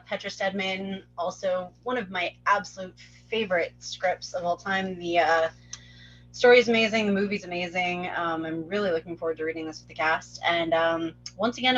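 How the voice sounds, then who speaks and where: A woman's voice: lowish voice, moderate pace, fairly bright tone. Casual woman on a dull lo-fi mic. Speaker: actor in a table read. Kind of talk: casual chat.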